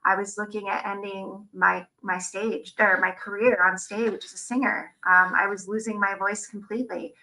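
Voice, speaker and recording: A woman talks through her slides at a conference, open and personal about her own experience. Her voice is bright and edged, with a lot of gravelly fry at the ends of phrases, and her pitch sits in an ordinary middle range. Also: flat delivery, normal speed, hardly any pauses, poor audio. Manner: candid and personal. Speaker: conference presenter. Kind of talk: live slide presentation.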